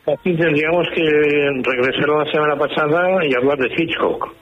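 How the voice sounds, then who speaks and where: A man from Spain with a Spanish accent, calling a radio show on a dull phone line and chatting casually, haltingly, often repeating words. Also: a little high. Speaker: phone-in caller. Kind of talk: casual film chat.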